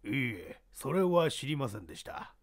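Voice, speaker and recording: A man playing a shrewd, self-assured baseball scout in a mystery story reading, explaining himself in a measured, worldly way. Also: medium pitch, big pitch swings. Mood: guarded, pondering. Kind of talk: radio-drama style mystery reading.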